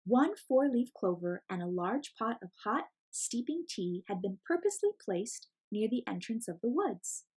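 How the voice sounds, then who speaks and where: Woman doing a kids story read aloud, expressive, bit of husk in the voice. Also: fairly high pitch, normal speed, few pauses, breathy edge, poor audio. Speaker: storyteller reading a picture book aloud.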